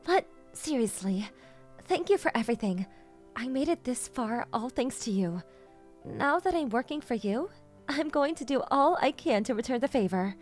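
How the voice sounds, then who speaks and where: Lady doing sweet shy anime girl-next-door type, young woman, high lively voice, really earnest. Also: moderate pace, faint music behind, lightly acted. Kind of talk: anime-style romcom manga dub acting.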